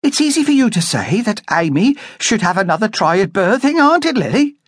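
A man's voice: high-pitched, very animated, fairly quick, dry recording, clean studio sound, voice pushed up. A man voicing a feisty young barmaid, combative and cutting.

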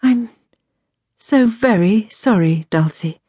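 A woman voicing a softened, sincere eldest sister in an audiobook, gentle and apologetic in manner. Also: on the low side, animated, normal speed, dry recording, studio quality. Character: softened, remorseful eldest sister, kinder after grief. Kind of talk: audiobook character dialogue.

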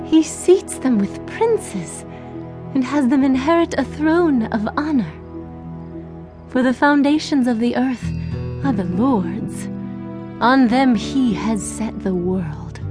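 Devout praying woman, slow reverent declamation, slightly high voice, music behind, scripture audio drama. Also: expressive. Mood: exultant, reverent.

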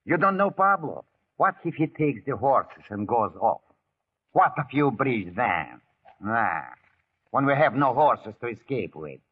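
An actor playing a boastful, excitable guerrilla scout, urgent and conspiratorial, in old radio drama style. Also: male voice, mid-range voice, a little slow, slightly rough. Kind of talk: 1940s radio acting. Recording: tinny, narrow old radio recording.

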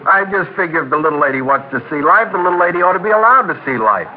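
An actor playing a cocky, menacing spoiled crook who owns a bar, taunting and bossy, in 1960s radio drama style. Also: male voice, a little high, expressive, racing, faint music behind, close to an everyday voice. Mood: smooth, flirtatious. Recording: old radio broadcast, tinny, hissy.

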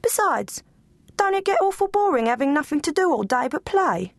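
A woman's voice: sits high, very animated, normal speed, dry recording, clean studio sound, voice pushed up. A woman doing a folksy scruffy working dog. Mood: earnest. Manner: folksy, rough country grammar. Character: humble scruffy male working dog, rustic and plain-spoken.

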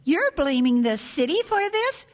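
A woman's voice: high-pitched, very animated, unhurried. A woman playing a haughty, indignant old grandmother in a radio drama, imperious and easily affronted. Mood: outraged, demanding. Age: older.

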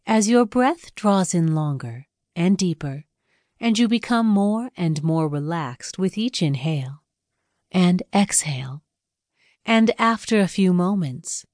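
A woman with a slightly low voice narrates a guided meditation. She speaks very slowly and soothingly, leaving space between her instructions. The sound is dry, with no room around the voice. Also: lively intonation, a little mellow, no room sound. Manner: measured, soothing instructional delivery with pauses.

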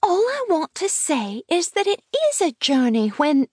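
A woman's voice: high voice, sing-song, measured pace, darkish tone, no echo, clean studio sound, voice pushed up. A woman voicing an eager, wilful ten-year-old storybook girl with a small treble voice. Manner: eager, insistent.

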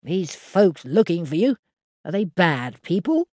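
A woman voicing a meek, worried male farmer, speaking in a low, slow drawl. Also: sing-song, a little mellow, no echo, studio quality. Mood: uneasy.

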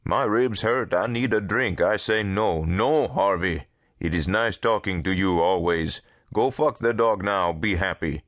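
A man voicing a gruff, weary rural man who talks bluntly in folksy broken grammar, in an audiobook. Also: sits low, measured pace, fairly bright tone, dry recording, clean studio sound. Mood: irritated.

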